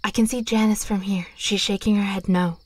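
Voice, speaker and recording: A woman's voice: mid-range voice, brisk, no echo, lightly acted. A woman playing a serene, affectionate, protective witch in an audio drama. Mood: cautious. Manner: calm, affectionate.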